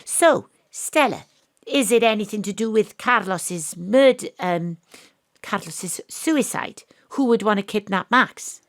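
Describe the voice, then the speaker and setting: An actress playing a wry, sceptical Welsh private detective in a noir-parody audio drama, with deadpan asides. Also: female voice, mid-range voice, measured pace, dry recording, studio quality, close to an everyday voice. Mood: probing, incredulous.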